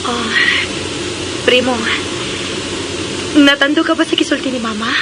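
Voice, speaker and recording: A woman's voice: sits high, unhurried. A woman in a radio drama playing a sweet, outspoken, admiring young daughter. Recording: noisy radio drama recording.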